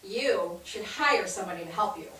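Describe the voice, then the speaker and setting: An actress in a staged reading playing a bubbly, bossy 1860s society wife, gushing and insistent in a theatrical way. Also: female voice, mid-range voice, animated, moderate pace, fairly bright tone, natural voice. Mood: chatty, bossy. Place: theatre stage, recorded from a distance. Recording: echoey stage, distant mic, faint noise.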